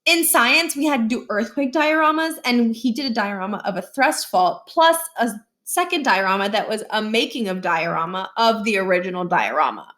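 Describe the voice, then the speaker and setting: A sardonic young schoolgirl played by a woman in a storybook. She talks casually and quickly takes people down a peg, deadpan and unimpressed. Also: mid-range voice, close to an everyday voice. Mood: matter-of-fact.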